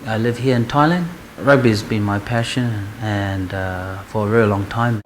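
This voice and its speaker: Earnest New man, halting fillers. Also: lowish voice, fairly even intonation, a little hiss or hum. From New Zealand. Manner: earnest, unhurried, frequent "uh" fillers. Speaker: rugby charity co-founder. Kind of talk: interview and documentary testimony.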